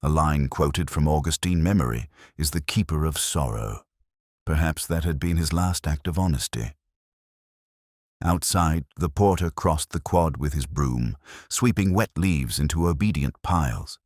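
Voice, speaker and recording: A man playing a weary, melancholy detective who narrates his case in a measured, solemn, reflective way, in the manner of a scripted radio drama. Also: very deep voice, darkish tone, dry recording, clean studio sound. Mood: weary, resigned.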